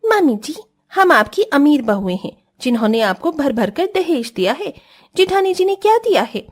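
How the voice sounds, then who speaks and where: A spoiled young rich wife from a cartoon family drama, voiced by a woman. She is haughty and whiny, with a very high pitch that swings up and down as she complains and talks back. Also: big pitch swings, normal speed. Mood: scornful.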